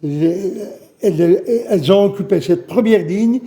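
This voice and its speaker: An older man from Algeria giving a halting, emotional eyewitness testimony as a guest on a studio news program, repeating phrases as he recalls events. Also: sits high, dark tone. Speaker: witness guest. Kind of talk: spoken testimony in a studio interview.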